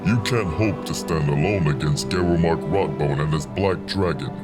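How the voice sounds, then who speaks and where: A massive copper dragon, stern and wise, performed by a man. The voice is extremely deep and rumbling, with formal, measured phrasing, set over loud music in a fantasy audiobook. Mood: stern, scolding. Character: huge copper male dragon, stern, wise, loyal mentor.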